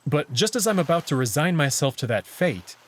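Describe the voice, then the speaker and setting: A man with a husky voice narrating a first-person visual novel story expressively. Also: fairly high pitch, very animated, a little fast.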